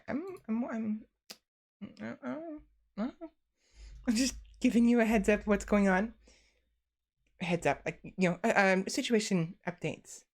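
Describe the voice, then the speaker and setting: A young woman streams from her desk, tired and chatting freely with her viewers. She rambles, restarts her sentences and leans on fillers as she talks. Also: mid-range voice. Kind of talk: casual chat to stream viewers.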